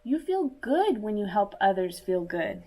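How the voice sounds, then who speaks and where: A woman reading a picture book aloud to young children in a deliberate, teacherly manner, as if speaking directly to her listeners. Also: mid-range voice, big pitch swings, dark tone. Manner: asks listeners questions and pauses for answers. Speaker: children's story reader.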